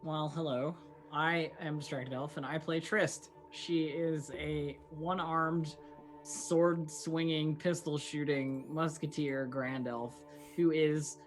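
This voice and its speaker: Playful guy, higher voice, sounds far from the mic, music behind. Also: fairly even intonation, takes it slowly. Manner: playful, trailing off quietly. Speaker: player. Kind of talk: casual tabletop chat. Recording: distant from the mic.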